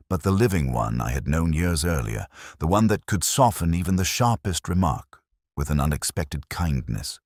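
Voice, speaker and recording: A man playing a melancholy, reflective police detective, narrating an audio drama in a measured, literary manner. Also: sits low, no echo, studio quality, exaggerated voice. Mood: regretful. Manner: measured, literary, brooding delivery. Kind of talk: scripted first-person audio drama narration.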